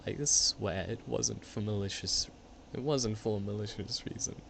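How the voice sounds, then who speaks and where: A man playing a frightened, guilt-ridden woman in her late twenties, halting and pleading, in an amateur radio play. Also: lowish voice, steady tone, creaky, a bit bright, slight background noise. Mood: confessing, shaken.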